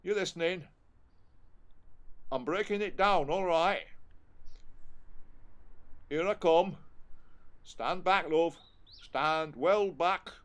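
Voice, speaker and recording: A man's voice: on the high side, takes it slowly, pauses often. A man playing a working-class husband in an audio play, with a colloquial, homely, coaxing way of talking. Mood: anxious coaxing.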